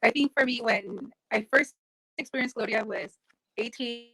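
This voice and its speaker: A woman's voice: a little high, moderate pace, pushed and bright, husky, dry recording, low-quality recording. A woman from South Texas speaking earnestly on a video-call panel, reflecting on leaving home and returning. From Rio Grande Valley, South Texas. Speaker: panelist poet. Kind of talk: casual panel discussion. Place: home room.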